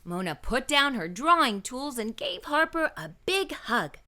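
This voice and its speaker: A woman reading a children's story aloud as an expressive narrator. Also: high-pitched, measured pace. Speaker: children's book reader.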